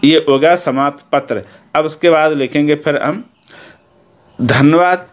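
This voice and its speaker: A man's voice: on the high side, measured pace. A man with an Indian accent giving an instructional lesson as a teacher, explaining methodically step by step and checking in after each point. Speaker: Hindi teacher.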